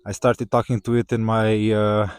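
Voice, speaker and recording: Romanian man talking casually, fairly low voice. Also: normal speed, smooth, no room sound, studio quality. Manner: conversational, many "uh" fillers and "right?" tags, rising when excited. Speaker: vlogger. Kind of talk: casual talk to camera.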